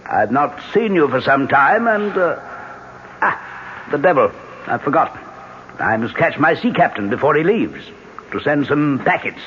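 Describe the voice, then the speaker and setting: A man's voice: on the high side, sing-song, unhurried, long pauses, a bit bright. An actor playing a commanding Irish man in a 1970s radio drama, with an Irish accent. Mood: courtly, hospitable. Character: tough, decisive Irish gunrunner, later a courteous aristocratic lord. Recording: muffled, noisy vintage radio broadcast.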